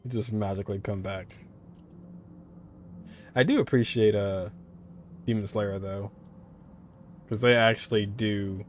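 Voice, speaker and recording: A man's voice: lowish voice, steady tone, measured pace, long pauses, a little hiss or hum. A man giving casual live gameplay commentary as a streamer, with quippy, offhand reactions. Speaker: game streamer.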